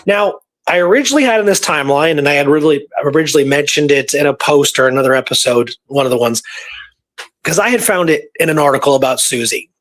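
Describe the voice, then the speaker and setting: A man's voice: a little high, fairly bright tone, poor audio. A man talking casually through historical research, rambling and informal, repeating points for emphasis. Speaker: history researcher and show guest or co-host.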